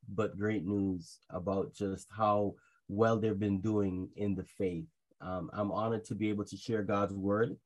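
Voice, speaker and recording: A man raised in Jamaica and Trinidad, preaching a sermon to a congregation over a video call, earnest and halting, with frequent restarts. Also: fairly low pitch, low-quality recording.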